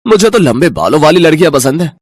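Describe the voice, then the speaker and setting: Man playing a mocking, animated young husband. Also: on the high side, fast, husky, dry recording, studio quality. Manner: jeering, contemptuous. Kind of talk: cartoon moral story voicing.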